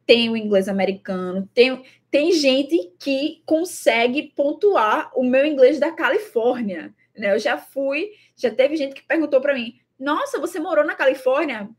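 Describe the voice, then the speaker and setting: A woman's voice: high voice, expressive, measured pace. A young Brazilian woman with a Brazilian Portuguese accent talks casually to the camera about her travels, thoughtful and repeating words, with many fillers. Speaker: traveling vlogger.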